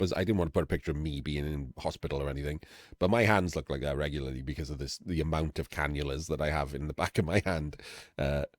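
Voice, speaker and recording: A middle-aged Welsh man with a Welsh accent, talking candidly and casually as a streamer, with fillers and the odd throat clearing. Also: a bass voice, a little mellow, dry recording, clean studio sound. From Wales. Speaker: streamer and channel host. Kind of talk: casual talk to camera-less audience.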